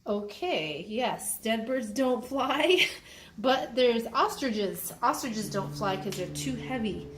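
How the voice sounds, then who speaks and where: In an echoey room with faint background noise, a woman leads a read-aloud phonics lesson. Her voice sits on the higher side and she takes her time. She speaks instructively, stretching words and sounding out letters as she goes. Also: lively intonation, takes it slowly, a bit bright. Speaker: mother reading along with her child and teaching sounding out.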